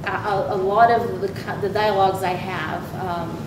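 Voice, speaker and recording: Woman from Brazil, panelist, hesitant delivery, heard from afar in an echoey hall with rumble. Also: steady tone, normal speed. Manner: hesitant, many fillers and restarts, laughs often. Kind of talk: panel discussion remarks. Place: large university event hall. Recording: echoey hall, distant mic, background rumble.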